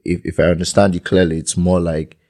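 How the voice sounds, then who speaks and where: A man's voice: deep voice, level tone, fairly quick, mellow. A man hosting a casual interview podcast, hesitant and often repeating words as he starts a question. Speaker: podcast host.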